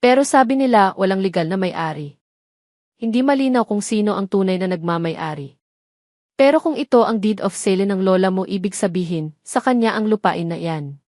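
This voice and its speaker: An earnest, serious rich-family man, voiced by a woman in an audio drama. He explains things gravely. The sound is dry and close. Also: medium pitch, animated, normal speed, a little mellow, no room sound, lightly acted. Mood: grave, intent.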